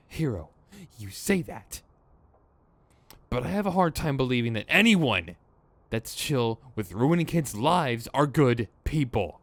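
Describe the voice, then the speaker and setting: Man acting an exasperated, animated villain. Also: mid-range voice, takes it slowly, pauses often, dry recording, heavily acted. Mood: indignant, protective. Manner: conversational, sardonic, sweary, emphatic. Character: exasperated male villain with a protective, principled streak. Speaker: audio roleplay voice actor. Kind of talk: ASMR roleplay acting. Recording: clear background noise.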